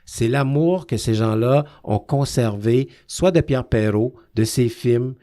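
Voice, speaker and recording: A man hosting a radio interview about film, chatty and quick to laugh, often repeating words as he talks. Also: mid-range voice, warm tone. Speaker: radio host.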